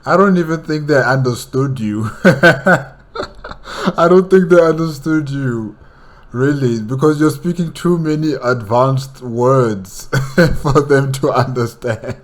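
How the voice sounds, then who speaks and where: A man's voice: mid-range voice, takes it slowly. A man hosting a reaction video, chatting casually with many fillers and laughs. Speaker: reaction channel host.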